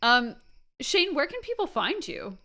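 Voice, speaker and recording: A woman's voice: sits high, very animated, measured pace, pushed and bright. Woman, interview host, casual conversation, playful, teasing, joking.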